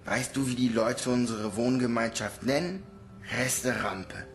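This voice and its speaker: A big orphan boy played by an actor in a radio play, protective yet disillusioned. He talks bluntly and sceptically at a middling pitch, with faint music behind. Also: measured pace, lightly acted. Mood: blunt, bitter. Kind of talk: radio drama acting.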